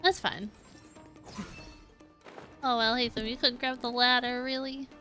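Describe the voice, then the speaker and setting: A woman's voice: a little high, fairly even intonation, a little slow, sharp tone. A woman giving casual live gameplay commentary, talking to herself and exclaiming. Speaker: game streamer. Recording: game music behind. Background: video game music.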